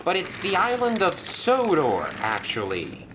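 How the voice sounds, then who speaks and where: A man voicing a brisk, practical female truck in a storybook reading, matter-of-fact and a little skeptical. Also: high-pitched, huge pitch swings, background noise, voice pushed up, full character voice.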